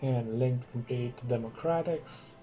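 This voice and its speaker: Musing Romanian man with a mellow voice. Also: mid-range voice, soft background music. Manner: musing, thinks aloud with frequent hums and pauses. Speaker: gaming commentator. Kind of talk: casual gameplay commentary.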